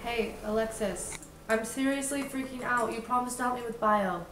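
Anxious, halting young woman played by an actress, slightly high voice, naturalistic film phone call with clear background noise. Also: close to an everyday voice. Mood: stressed, pleading. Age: young adult. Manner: hesitant, halting. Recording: noisy location sound, background hiss.

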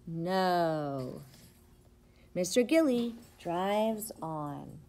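A middle-aged woman, a preschool teacher, reads a picture book aloud to young children with expressive, rhythmic, sing-song phrasing. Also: on the low side, very slow. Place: indoors, school classroom. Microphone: device camera at about arm's length.

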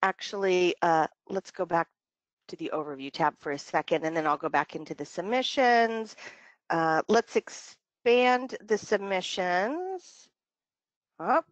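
A woman leading a training webinar, talking through software steps conversationally, thinking aloud and repeating phrases for emphasis. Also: fairly low pitch, lively intonation, a little slow, no room sound. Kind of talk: conversational step-by-step software walkthrough. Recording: dull, lo-fi dry webinar audio.

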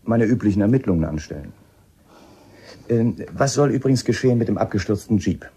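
An actor playing a probing, methodical police inspector in a German radio play, speaking deliberately. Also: male voice, lowish voice, animated, warm tone, heavily acted. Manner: questioning, deliberate, hesitant openers. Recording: clear background ambience noise.

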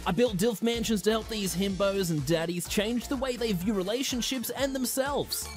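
A performer playing a suave, mysterious relationship expert who narrates a reality show with arch, deadpan teasing. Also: medium pitch, moderate pace, music in the background, close to an everyday voice.

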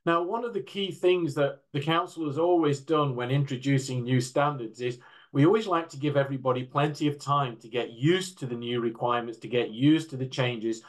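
An older man giving a measured, explanatory slide presentation as a panel speaker on an indoor video call. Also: medium pitch. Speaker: panel speaker from a standards council.

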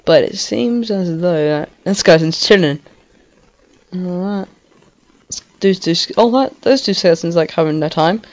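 A woman giving casual, rambling gameplay commentary on a home computer mic, excitable and prone to swearing. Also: lowish voice, a little slow. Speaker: gaming video creator. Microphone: home computer microphone. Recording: slightly dull lo-fi mic.

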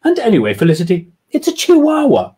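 A self-satisfied office manager of a woman, as a man plays her, brisk and a little evasive. Her voice sits well above his own and swings up and down lively, with a husky edge. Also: high voice, voice pushed up, full character voice. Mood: suspicious. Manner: smug, brisk. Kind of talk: storybook reading.

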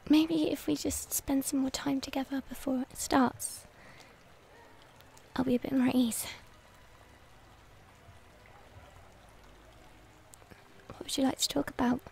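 A woman playing a shy, devoted young werewolf girlfriend in an intimate ASMR roleplay, speaking hesitantly and tenderly in a breathy voice that often drops toward a whisper. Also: high voice, little pitch movement, darkish tone. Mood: embarrassed, earnest. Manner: hesitant, tender, halting delivery.

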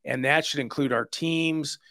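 A man's voice: on the high side, a little slow, sharp tone, no room sound. A man in his fifties giving an earnest, conversational instructional talk as a host, stressing his points into a close microphone. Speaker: church security instructor and host. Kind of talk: explanatory video lesson, conversational. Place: small home study, indoors. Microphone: close microphone on a boom arm.

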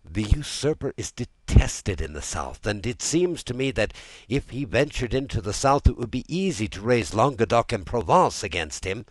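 A man's voice: mid-range voice, very animated, normal speed, no room sound, pitched above the performer's own voice, poor audio. A man voicing a zealous but tactful, deferential royal adviser in an audiobook, courteous and careful. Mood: respectful, earnest. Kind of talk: audiobook character dialogue.

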